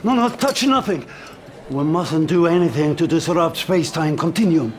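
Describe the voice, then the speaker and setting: An actor playing a nervous, fretful Soviet scientist with an anxious, pleading manner in a game cutscene. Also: male voice, on the high side, animated, normal speed, background noise, close to an everyday voice. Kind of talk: dramatic video game cutscene acting. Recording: music behind the voice.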